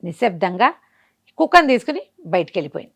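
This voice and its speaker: A woman's voice: medium pitch, sing-song, fast, gravelly, fairly bright tone. A woman telling a folk tale conversationally, often asking and answering her own questions. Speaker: storyteller. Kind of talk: spoken folk-tale storytelling.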